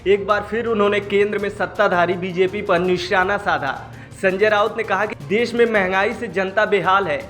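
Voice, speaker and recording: High-pitched male news narrator over music. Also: fairly even intonation, moderate pace, hardly any pauses, a bit bright. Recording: music behind the voice. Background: background music.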